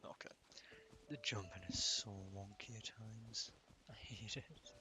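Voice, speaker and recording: A man's voice: lowish voice, measured pace. A man giving casual gameplay commentary, offhand and half muttering to himself. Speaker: gamer, streamer playing through a game.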